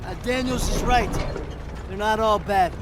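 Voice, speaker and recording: An actor playing an easygoing, joking young soldier in a World War II game, casual and wry. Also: male voice, measured pace. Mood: playful. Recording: music and vehicle rumble behind.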